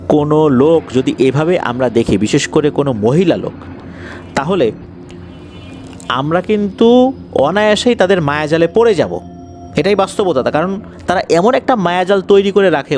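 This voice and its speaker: A Bangladeshi man narrates ghost stories as a show host in a slightly high voice. His pitch rises and falls widely, and he stretches words and pauses for suspense. Faint music plays beneath him. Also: darkish tone. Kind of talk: dramatic horror storytelling addressed to listeners.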